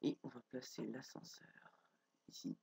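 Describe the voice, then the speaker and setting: Young man with a head cold, husky, dark-toned, hesitant gameplay talk, dry close sound. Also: medium pitch, flat delivery, a little slow, no echo. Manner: thinks aloud, frequent fillers and hesitations. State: has a cold, stuffy nose. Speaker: gameplay video creator. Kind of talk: casual commentary while building in a game.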